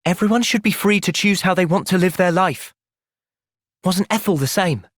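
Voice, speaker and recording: An actor playing an earnest, thoughtful young male hero in a fantasy video game, speaking sincerely and clearly. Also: high-pitched, normal speed, no room sound, clean studio sound. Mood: solemn, reflective. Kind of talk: video game cutscene voice acting.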